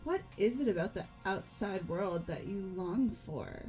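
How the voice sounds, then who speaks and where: A woman playing a poised, coolly resigned young woman in a fan-voiced visual novel, speaking formally and deliberately. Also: medium pitch, animated, darkish tone, soft background music, lightly acted, low-quality recording. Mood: cool, composed.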